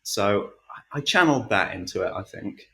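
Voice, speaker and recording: Male interview guest, audiobook narrator. Slightly deep voice, casual storytelling delivery, noticeably roomy recording. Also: lively intonation, fairly quick, creaky, sharp tone. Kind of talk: casual interview, recounting his work. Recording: roomy, echoing sound.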